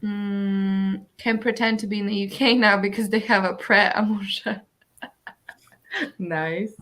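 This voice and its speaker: A woman's voice: mid-range voice, steady tone, a little slow, a bit bright. A woman hosting a casual livestream, chatting with friends and reading out viewer comments. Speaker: host of a livestream reading sprint.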